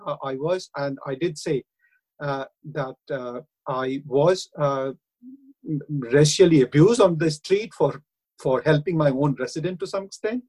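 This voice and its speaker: A middle-aged man with an Indian accent, speaking earnestly and haltingly in a radio interview, with frequent hesitations. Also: fairly high pitch, darkish tone, slightly rough, low-quality recording. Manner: earnest, hesitant, many uh fillers. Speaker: councillor and university lecturer.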